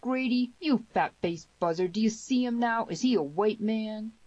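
A woman voicing a huge, rough, loud frontier brawler in an audiobook Western, fierce but fair, blustering and emphatic. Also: lowish voice, animated, normal speed, dry recording, highs cut off, close to an everyday voice. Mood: fierce, boastful. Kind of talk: dramatised audiobook dialogue in Western dialect.

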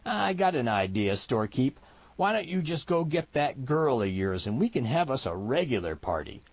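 A man's voice: mid-range voice, sing-song, moderate pace, dry recording, muffled recording, voice pushed up. A man voicing a big, brutish mountain man outlaw in a Western story, with a folksy rural American accent. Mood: taunting. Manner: folksy drawling threats and stammering pleas. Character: big brutish mountain man outlaw, swaggering bully turned craven pleader.

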